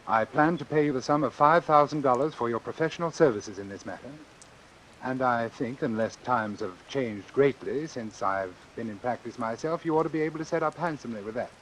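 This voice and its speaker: An actor playing a calculating, formal doctor who deliberately and persuasively arranges his own death in an old-time radio suspense drama. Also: mid-range voice, natural voice, low-quality recording. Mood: smooth, persuasive. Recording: hissy vintage radio recording with rain. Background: hiss and falling rain.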